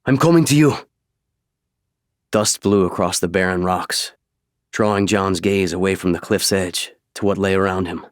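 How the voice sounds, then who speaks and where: A man reading scripted audiobook narration in a measured, dramatic way, close to a studio microphone. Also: low-pitched, level tone, clean tone, no echo.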